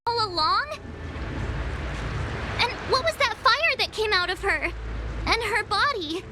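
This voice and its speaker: A woman's voice: very high voice, animated, measured pace. An actress voicing an earnest young girl adventurer in a video game, urgent and questioning. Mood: alarmed. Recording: music behind the voice.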